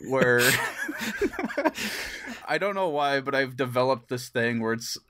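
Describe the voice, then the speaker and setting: A man co-hosts a casual gaming podcast with a mid-range voice. He tells stories in an animated way, chuckling as he goes and acting out bits of dialogue. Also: medium pitch, slow. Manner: chuckles through stories, acts out quoted dialogue. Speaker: podcast co-host.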